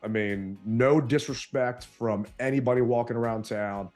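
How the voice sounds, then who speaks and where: A man co-hosting a sports podcast, telling stories in an animated, casual way, his voice a bit strained from yelling. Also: mid-range voice, moderate pace, faint music behind. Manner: animated storytelling. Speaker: podcast co-host.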